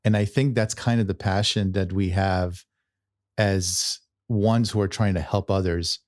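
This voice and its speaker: A man's voice: deep voice, fairly even intonation, a little slow, dry recording, studio quality. A man hosting a podcast, who was born in Israel and grew up in New York, asking earnest leading questions and often checking in with "right?". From born in Israel, raised in New York. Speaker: podcast host. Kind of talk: interview hosting.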